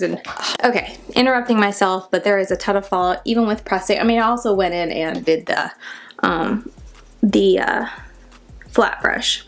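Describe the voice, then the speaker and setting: A congested woman in her thirties talks casually through a makeup routine in a shaded room at home. Her voice has a gravelly, fried edge, and she rambles and interrupts herself. Now and then she drops into soft half-whispers. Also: medium pitch. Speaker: beauty vlogger. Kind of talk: casual chat during a makeup tutorial.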